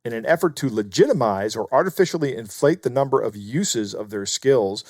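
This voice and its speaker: A man's voice: medium pitch, darkish tone, no room sound, studio quality. An American man co-hosting a podcast in a casual style, chatting and reading aloud. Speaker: podcast co-host. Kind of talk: casual chat and reading out listener mail.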